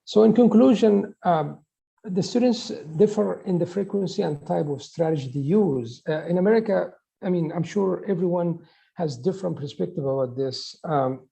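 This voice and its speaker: A middle-aged man from Egypt, giving a conference talk in a halting way with restarts and fillers. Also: sits high, vocal fry, dark tone. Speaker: conference presenter, professor.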